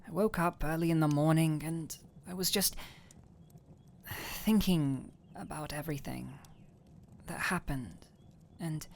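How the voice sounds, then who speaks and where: A gentle fantasy alchemist voiced by a woman speaks slowly in a slightly low register. The delivery is soft and hesitant, often sinking toward a whisper, with an anxious yet tender and teasing temper suited to intimate roleplay audio. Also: gravelly, a little hiss or hum, full character voice. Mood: worried, confiding. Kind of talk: roleplay audio drama, intimate one-sided dialogue.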